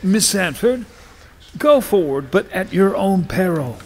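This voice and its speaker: A man's voice: high voice, very animated, unhurried, a little mellow, heavily acted. An actor playing a stern, authoritative male chief justice in a courtroom audio drama, speaking in a formal, declamatory, admonishing way. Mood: stern, cautioning.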